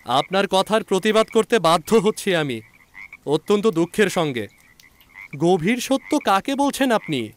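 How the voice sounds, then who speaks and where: A man's voice: high-pitched, very animated, a little slow, pitched above the performer's own voice. A man voicing a cocky young doctor in an audio story, a sceptic who talks in a mocking, needling, chatty way. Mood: argumentative. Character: cocky sceptical young male doctor, teasing and polite on the surface. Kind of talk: audio story character acting.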